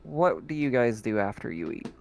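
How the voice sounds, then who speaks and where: Casting: man, mid-pitched, easygoing game master narrating a tabletop game. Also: moderate pace. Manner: relaxed, filler-heavy description. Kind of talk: running a tabletop roleplaying game.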